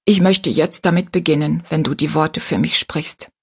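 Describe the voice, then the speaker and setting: A woman voicing a tiny, kind and wise old woman who speaks softly, slowly and in a hushed way. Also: low-pitched, fairly even intonation, creaky, husky, exaggerated voice. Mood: quiet. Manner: soft, slow and hushed.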